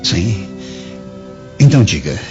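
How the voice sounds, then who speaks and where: Stern, swooping Roman husband, Brazilian. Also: male voice, low voice, sing-song, slow, heavily acted. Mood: cold anger. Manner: theatrical, declamatory. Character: stern, suspicious Roman husband, pompous and wronged. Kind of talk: radio drama acting in Portuguese. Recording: dull lo-fi audio under music.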